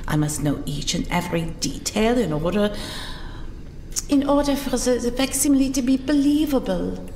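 A woman's voice: on the high side, darkish tone, slight background noise. A woman playing a haughty art forger. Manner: proud, formal, indignant. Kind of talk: audio drama acting. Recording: roomy reverb with music behind.

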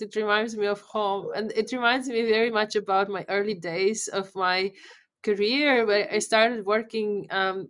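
A woman's voice: fairly high pitch, fairly bright tone. Polish woman founder on a video call. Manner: thoughtful, halting restarts, light laughs. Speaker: company founder, guest. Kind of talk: casual interview. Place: home room on a video call.

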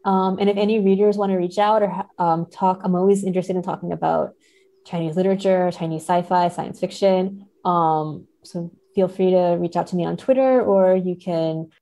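A woman's voice: on the low side, fairly even intonation, normal speed, warm tone. A woman speaking as an academic guest in a casual podcast interview.

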